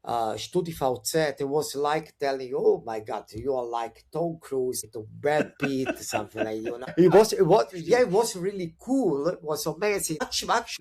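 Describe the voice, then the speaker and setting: Italian-accented man, hesitant and chatty. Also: medium pitch. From Italy. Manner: hesitant, many fillers and restarts; upbeat and animated when excited. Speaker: podcast guest. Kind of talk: conversational interview storytelling.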